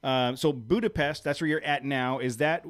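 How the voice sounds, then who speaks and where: A man's voice: mid-range voice, fairly even intonation, normal speed, fairly bright tone, no room sound, studio quality. An American man hosting a live-stream podcast, chatty and jokey, laughing often as he talks with his guest. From United States. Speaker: podcast host. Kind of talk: casual live-stream chat.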